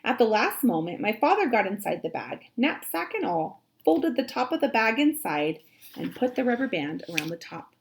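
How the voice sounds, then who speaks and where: A woman reads a children's story aloud like a teacher, expressively, with dramatic emphasis and drawn-out words. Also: a little high, very animated, creaky.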